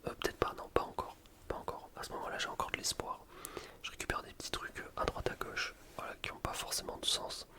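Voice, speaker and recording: A man softly whispering ASMR gameplay commentary in an informal, rambling, self-deprecating way. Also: brisk. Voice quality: soft unvoiced whisper. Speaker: gaming video creator.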